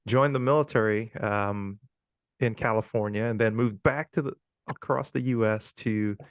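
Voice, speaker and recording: A man in his forties from Guyana, a podcast guest talking in a relaxed, chatty way with frequent ums. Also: on the low side, normal speed, dry recording, studio quality. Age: 40s. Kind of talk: casual interview talk.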